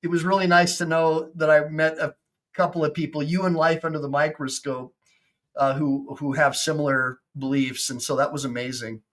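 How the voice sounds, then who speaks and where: A man in his fifties, a personal injury attorney hosting a casual video-call chat, excitable and full of stammering restarts. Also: fairly high pitch, normal speed. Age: 50s.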